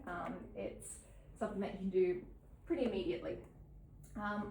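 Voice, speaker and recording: Australian woman, thirties, halting artist talk full of ums, roomy echo, heard from a distance. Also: fairly low pitch, creaky. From New South Wales, Australia. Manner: halting, with many ums and soft laughs. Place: gallery event space with an audience. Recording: roomy, from some distance, faint background noise.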